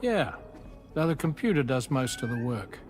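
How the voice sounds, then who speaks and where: An actor playing a genial, easygoing ship's captain and doctor in a video game. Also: male voice, mid-range voice, very animated, moderate pace, a little mellow, slight background noise, lightly acted. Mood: friendly. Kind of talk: cinematic video game acting.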